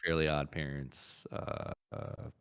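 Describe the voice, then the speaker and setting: A man in his thirties with a low voice chats as a podcast co-host. His delivery is casual and laid-back, a little halting. He is recorded dry, without any echo. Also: level tone, a bit bright, clean tone. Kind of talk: casual chat.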